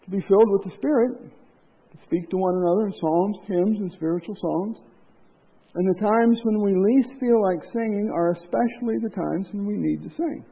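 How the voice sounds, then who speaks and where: Male preacher, high gravelly voice, impassioned sermon delivery, tinny lo-fi recording. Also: moderate pace. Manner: impassioned, rising to loud emphasis then dropping low. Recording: tinny phone-like lo-fi sound.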